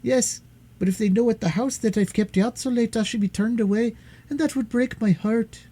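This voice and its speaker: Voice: medium pitch, fairly quick, warm tone, pitched above the performer's own voice, close to an everyday voice. A reader putting on a fussy, indignant nurse. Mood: anxious. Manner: fussy, easily offended, scolding. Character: indignant fretful woman nurse to a princess. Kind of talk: storybook character voice.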